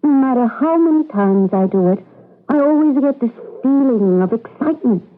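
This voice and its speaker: A woman's voice: sits high, expressive, moderate pace, slight background noise. Earnest woman, phone-like radio sound. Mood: wistful. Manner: earnest, questioning. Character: naive, earnest, compliant female citizen of regimented future. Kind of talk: 1980s radio drama acting. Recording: thin phone-like old radio recording.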